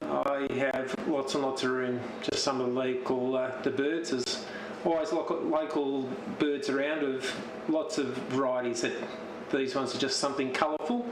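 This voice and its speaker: An Australian man giving an informal, halting show-and-tell narration as an amateur television operator. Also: mid-range voice, fairly even intonation. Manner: halting, unscripted. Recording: roomy, noisy radio-transmission audio.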